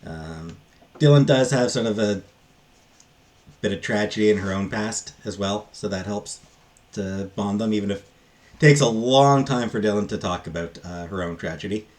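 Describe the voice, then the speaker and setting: A man with a very low-pitched voice talks through a book review off the cuff. His delivery is casual and rambling, with halting phrases that tend to trail off.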